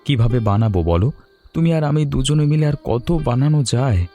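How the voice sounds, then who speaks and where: A man's voice: mid-range voice, animated, brisk, mellow, soft background music, natural voice. Man acting a plaintive, humble cartoon weaver. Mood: worried, reassuring. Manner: earnest, plaintive, deferential. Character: humble, anxious male weaver, despairing husband. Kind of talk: Bengali animated story dialogue.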